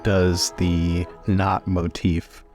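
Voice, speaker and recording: Low-voiced, thoughtful man playing tabletop roleplay. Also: monotone, very slow, over music. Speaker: player in a roleplaying podcast. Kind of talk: tabletop roleplay, inquisitive questions and action narration.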